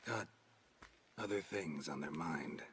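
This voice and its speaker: A grim hired monster slayer for a fantasy game: bottom-low, gravelly, clipped lines. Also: male voice, takes it slowly, a bit bright, slight background noise, exaggerated voice. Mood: grim, calm. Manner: terse, laconic. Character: weary gruff male witcher, hired monster hunter. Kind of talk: cinematic video game acting.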